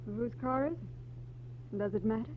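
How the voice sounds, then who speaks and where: An actress playing a wistful, anxious woman with a breathy, dramatic delivery in a 1940s noir radio play. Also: on the high side, fast, phone-quality sound, lightly acted. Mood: anxious, puzzled. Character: wistful, anxious married woman mourning lost love. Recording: thin, noisy 1940s radio recording with hum.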